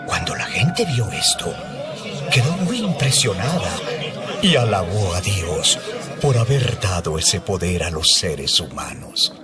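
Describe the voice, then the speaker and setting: Low, unhurried male narrator. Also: big pitch swings. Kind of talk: scripted dramatized scripture narration. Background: music.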